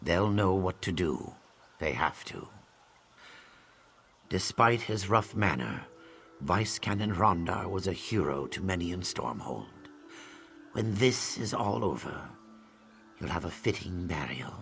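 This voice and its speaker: A man voicing a cocky but earnest and loyal lizardfolk scout and tracker in a video game, with a gravelly voice. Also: deep voice, expressive, unhurried, pauses often, a bit bright, slight background noise, full character voice. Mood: resolute. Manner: tags sentences with a questioning "yes?". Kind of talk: video game character dialogue. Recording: game music under the voice.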